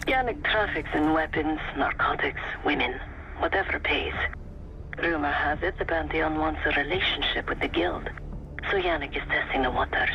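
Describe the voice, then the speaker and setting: An actor playing a menacing, calculating mercenary in a video game, laying out a job in a cold, taunting manner. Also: male voice, fairly high pitch, moderate pace, close to an everyday voice. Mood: cold, scheming. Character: menacing, coolly calculating underworld mercenary plotting a hit. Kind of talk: scripted mission briefing. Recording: dull, muffled, with clear background noise.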